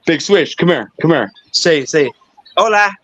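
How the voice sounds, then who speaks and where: A man's voice: a little high, animated, unhurried, a bit bright, slight background noise. A man hosting a casual livestream chat, joking and swearing, dropping in bits of Spanish. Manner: joking, profane, slips in Spanish. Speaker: livestream host. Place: home with a balcony.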